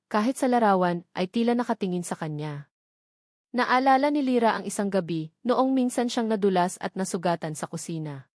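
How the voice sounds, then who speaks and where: Woman, scripted story narration, dramatic, suspenseful, emphatic phrasing, average pitch, dry studio-like sound. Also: a little mellow, no room sound.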